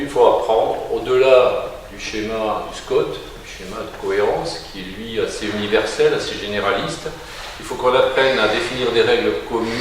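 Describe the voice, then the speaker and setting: A man giving a deliberate, reflective explanation as if answering an interview. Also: on the low side. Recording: very echoey room, slightly distant mic, faint noise.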